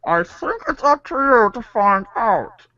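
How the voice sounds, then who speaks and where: Woman playing an animated, high-pitched young game player. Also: measured pace, no echo, highs cut off, exaggerated voice. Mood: earnest, careful. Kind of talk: fan script reading.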